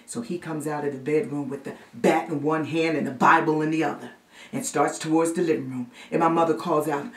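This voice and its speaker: Actress as female storyteller, spooky family tale, hushed suspense, dramatic delivery, roomy echo, distant mic. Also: deep voice, steady tone, fairly quick. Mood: suspenseful. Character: animated woman retelling a spooky childhood family tale. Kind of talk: theatrical storytelling monologue.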